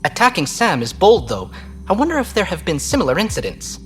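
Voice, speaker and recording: A woman's voice: on the low side, wildly swooping pitch, brisk, faint music behind. An actress playing a plain-spoken woman crew member on a space station in a video game. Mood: curious. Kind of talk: video game dialogue acting.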